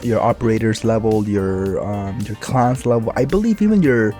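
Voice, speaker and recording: A man with a slightly low, dark, mellow voice that sounds raspy as he recovers from being ill. He rambles through gameplay commentary in a laid-back way, full of "like" and "you know". Faint music plays behind him. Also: normal speed. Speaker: gaming YouTuber.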